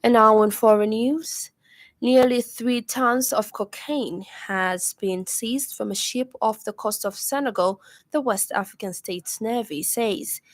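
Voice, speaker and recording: A woman with a Zambian accent reads a scripted radio news bulletin in a formal, measured delivery. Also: fairly high pitch, no room sound. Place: radio studio.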